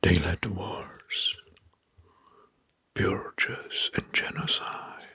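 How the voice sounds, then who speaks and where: Whispering male ASMR host, very breathy. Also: low-pitched, steady tone. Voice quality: breathy, mostly unvoiced whisper. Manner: hushed, deliberate, repeating key phrases softly. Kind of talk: whispered ASMR history narration. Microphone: close microphone.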